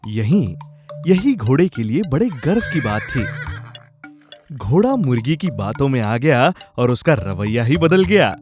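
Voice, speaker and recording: A man narrating a children's story in an expressive storytelling style. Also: medium pitch, unhurried, faint music behind.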